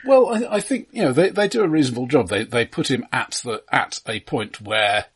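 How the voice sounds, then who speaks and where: British man with a halting, husky delivery. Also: medium pitch, lively intonation. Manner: halting, repeated word starts. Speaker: film podcast host. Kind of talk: casual film discussion.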